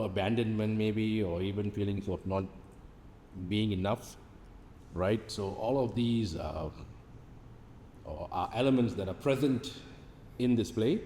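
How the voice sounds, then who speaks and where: A man in a casual cast interview, talking haltingly and repeating words as he thinks. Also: fairly low pitch, mellow. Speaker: stage play cast member. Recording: echoey hall, faint background noise.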